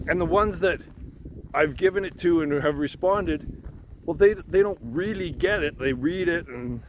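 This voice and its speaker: A man in a halting, rambling unscripted monologue, frustrated and insistent, swearing and repeating himself. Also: high voice. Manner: frustrated, insistent, profanity-laced; halting, repeats words and restarts. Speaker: amateur theorist making videos. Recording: noticeable background noise.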